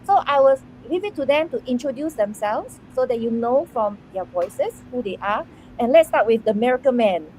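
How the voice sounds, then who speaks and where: A woman from Singapore hosting an online panel over a video call, bubbly and quick to laugh. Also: a little high, expressive, a little mellow, slight background noise, rough recording. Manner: bubbly, laughs between words. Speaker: panel host.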